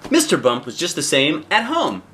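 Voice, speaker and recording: A man reading a children's storybook aloud in an expressive way, with drawn-out emphasis on key phrases. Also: a little high. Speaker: storybook reader, a dad.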